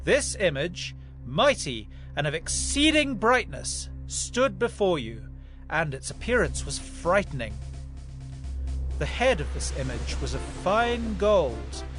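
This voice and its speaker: A man playing a fervent prophet who solemnly and emphatically interprets a king's dream in a dramatized scripture production. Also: fairly high pitch. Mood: solemn, awed. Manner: solemn, emphatic, deliberate proclamation. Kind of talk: dramatized scripture acting, declamatory. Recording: music bed under the voice.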